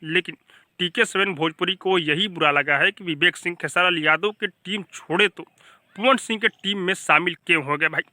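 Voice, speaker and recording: A man's voice: high voice, normal speed, pushed and bright, no room sound. A man with a Bhojpuri accent giving informal, emphatic gossip news commentary as a channel host, often addressing viewers like brothers.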